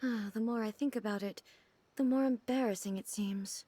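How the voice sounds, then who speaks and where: A woman voicing a regal, composed female ruler in a video game cutscene, speaking in measured, formal and earnest sentences. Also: a little high, dark tone, soft background music, lightly acted. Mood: puzzled.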